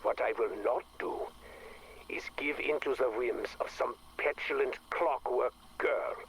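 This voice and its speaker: An actor playing a bitter, scornful scientist with a temper in a video game audio log, sardonic and deliberate. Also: male voice, medium pitch, fairly bright tone, slight background noise, natural voice, poor audio. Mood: angry.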